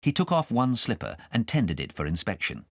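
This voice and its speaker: A man reading a children's story aloud as an expressive audiobook narrator. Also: fairly low pitch, a little fast, no echo, clean studio sound.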